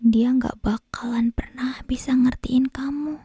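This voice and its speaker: A woman playing an obsessive, possessive, lovesick kidnapper girl in an ASMR roleplay, whispering breathily and menacingly close to the microphone with lingering pauses. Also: high-pitched, steady tone, dark tone, slightly rough, no room sound, exaggerated voice. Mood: scornful, possessive. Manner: slow, lingering phrases broken by pauses. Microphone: close binaural microphone.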